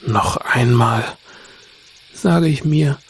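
A weary, solitary bureaucrat of a radio play, acted by a man speaks slowly at a middling pitch. The delivery is introspective and measured, in terse phrases, over faint background noise. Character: weary, solitary male bureaucrat with quiet grief. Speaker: narrator and lead actor in an audio drama. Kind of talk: first-person narrated radio play.

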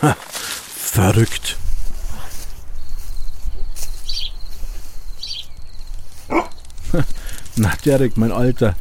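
Actor as an easygoing man, slow and animated. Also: medium pitch, noisy background. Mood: bemused. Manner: muttering to himself, exclamatory. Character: easygoing solitary man chatting to his dog. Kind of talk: German children's radio play acting.

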